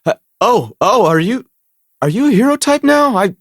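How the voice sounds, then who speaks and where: A man's voice: high-pitched, big pitch swings, moderate pace, slightly rough, no echo, clean studio sound, full character voice. A man voicing a timid, fragile islander in a video game, with a whimpering, hesitant manner. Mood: relieved, timid. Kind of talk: video game character acting.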